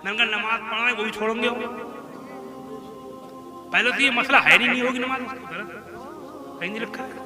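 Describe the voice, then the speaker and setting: High-pitched man preaching. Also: fairly even intonation, a little fast, long pauses, music in the background. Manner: conversational, checks listeners understand, mimics others' scolding. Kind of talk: colloquial Islamic sermon.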